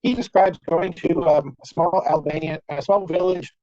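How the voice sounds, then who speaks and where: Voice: medium pitch, moderate pace, warm tone, no echo. Dry-humoured academic on a muffled call. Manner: wry, dry humour with frequent fillers and restarts. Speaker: conference speaker. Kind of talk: academic lecture. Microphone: computer mic on a video call. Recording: dull, lo-fi video call audio.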